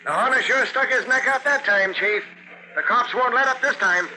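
An actor playing an excitable, slangy newsman in an old-time radio drama. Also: very high-pitched, normal speed, slight background noise, full character voice, low-quality recording. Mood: excited.

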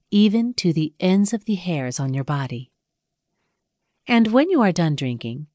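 Slow, low-pitched woman guiding a meditation. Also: warm tone, no room sound, clean studio sound. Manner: measured, soothing instructional delivery with pauses. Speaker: audiobook narrator, meditation guide. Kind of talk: scripted guided meditation narration.

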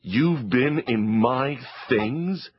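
A man doing a gruff, scolding father. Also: mid-range voice, expressive, a little hiss or hum. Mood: stern. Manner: scolding, drawn-out and stern. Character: gruff, weary, short-tempered father. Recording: dull, dry lo-fi recording.

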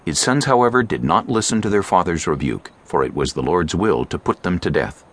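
A man with a deep voice narrates scripture from a script. His delivery is measured storytelling with animated, wide-ranging intonation.

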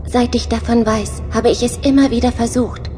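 Sci-fi audio drama: woman as a wistful onboard computer, fairly high, soft and earnest, over a music bed. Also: fairly even intonation, normal speed, natural voice. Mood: troubled. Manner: soft-spoken, earnest, searching. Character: female onboard computer, lonely, longing for a body. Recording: music underscore behind voice.

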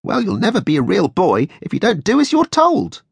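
A man's voice: high-pitched, wildly swooping pitch, brisk, creaky, breathy edge, no echo, studio quality, voice pushed up, exaggerated voice. A man voicing a small, centuries-old male cricket who scolds and lectures. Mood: scolding. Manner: scolding, preachy.

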